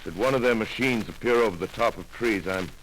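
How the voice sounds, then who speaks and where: An actor playing a shaken, middle-aged male astronomer who survives alone, speaking in a brooding, halting radio-drama monologue. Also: lowish voice, fairly bright tone, close to an everyday voice, rough recording. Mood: haunted. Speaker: narrator of a radio play. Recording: faint hiss, old radio broadcast.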